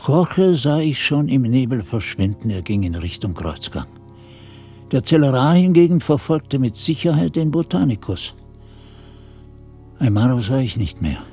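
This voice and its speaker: An actor playing a reflective monk who narrates his memories in measured, literary radio drama storytelling. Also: mid-range voice, dark tone, close to an everyday voice. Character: reflective monk recounting his novice days. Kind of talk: scripted first-person radio drama narration.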